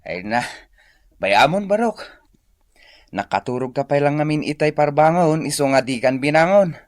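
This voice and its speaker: An actor playing a humble, grateful old farmer uncle in an Ilocano radio drama, sighing and gentle, with an Ilocano accent. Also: male voice, a little high, expressive, normal speed, dry recording, studio quality, lightly acted. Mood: reassuring. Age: older.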